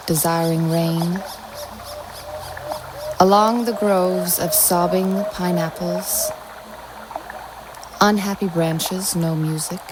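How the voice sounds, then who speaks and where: A woman reading surreal poetry aloud in a slow, measured, incantatory way. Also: lowish voice, noisy background. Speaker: poetry reader. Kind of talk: spoken-word poetry reading. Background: music soundscape.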